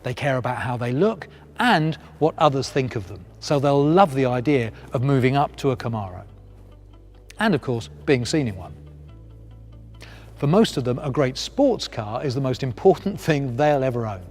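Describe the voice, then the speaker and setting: A man's voice: mid-range voice, expressive, moderate pace, soft background music. A man presenting a scripted sales training film, with polished, persuasive delivery and emphatic phrasing. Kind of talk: scripted promotional narration.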